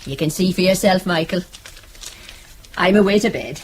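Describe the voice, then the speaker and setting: A woman playing a plucky, brisk aunt in a radio drama. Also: fairly low pitch, a little hiss or hum, natural voice.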